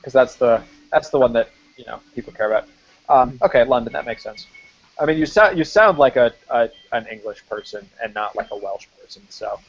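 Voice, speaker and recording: A man in a loose, unscripted chat who peppers his talk with swearing and "like". His voice sits at an ordinary middle pitch. The sound is a bit dull and muffled, like online call audio. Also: animated, a little fast, creaky, husky. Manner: swears freely, lots of "like" fillers. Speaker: film site writer hosting a live-stream chat. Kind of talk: casual live-stream chat.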